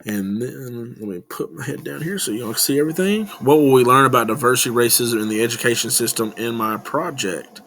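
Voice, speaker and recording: A man's voice: medium pitch, fairly quick, hardly any pauses, fairly bright tone, a little hiss or hum. Young man with a Tennessee twang. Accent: Southern US, Tennessee twang. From West Tennessee, US South. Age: young adult. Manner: rambling and informal, many "um" fillers and restarts. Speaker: student presenting a class project. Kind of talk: casual recorded class presentation.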